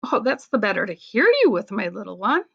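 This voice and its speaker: A woman's voice: mid-range voice, animated, a little fast, gravelly, fairly bright tone, slightly rough, highs cut off, exaggerated voice. A woman voicing a sly, coaxing storybook wolf with a falsely sweet way of talking.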